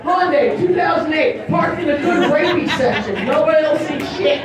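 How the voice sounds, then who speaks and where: A raspy-voiced woman, performing a bawdy, self-mocking stand-up set on a handheld mic in a large hall. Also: high voice, animated, normal speed, a loud crowd. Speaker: stand-up comedian. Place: large indoor hall on a small stage. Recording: echoey hall from far off with audience.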